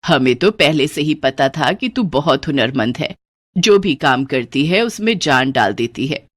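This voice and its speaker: Cartoon storybook: an elderly, affectionate mother-in-law, slow and warm-hearted, a woman doing the voice. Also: deep voice, lively intonation, dry recording, voice pushed down. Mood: proud.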